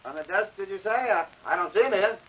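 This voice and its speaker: A man's voice: sits high, lively intonation, fast talker, a bit bright, slightly rough, heavily acted. An actor playing a breezy, practical, unworried male friend in an old radio drama, talking casually. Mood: breezy, reassuring. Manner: casual, colloquial. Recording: muffled vintage radio recording.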